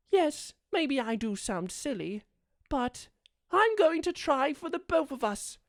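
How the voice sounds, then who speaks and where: A woman plays a doting owl demon prince with a distinctly high voice, recorded close and dry. He speaks in florid, regal phrases, theatrical yet affectionate, as a comforting character in an audio roleplay. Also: no echo. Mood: earnest, tender.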